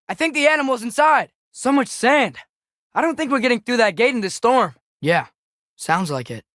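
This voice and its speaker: A man's voice: very high-pitched, bright, forceful tone, no echo, studio quality, full character voice. Earnest American teenage boy adventurer. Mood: urgent, calling out. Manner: earnest, questioning, youthful casual phrasing. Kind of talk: naturalistic video game character acting.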